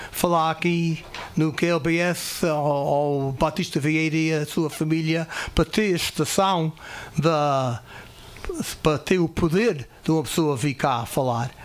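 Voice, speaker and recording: Halting American man speaking hesitant Portuguese. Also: on the high side. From California, third-generation Portuguese-American. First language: English. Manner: halting, searching for words, mixing English in. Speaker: festival committee guest. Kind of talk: radio interview. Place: radio studio.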